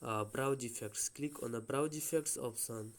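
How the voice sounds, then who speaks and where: A man giving an unscripted step-by-step phone app tutorial as host, speaking in a halting, hesitant way with repeated phrasing. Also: medium pitch.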